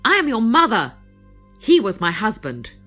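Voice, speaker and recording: A woman's voice: on the high side, huge pitch swings, normal speed, pushed and bright, soft background music, heavily acted. A woman playing a haughty, controlling middle-aged widow and mother in an audio drama, imperious and formal. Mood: imperious, offended.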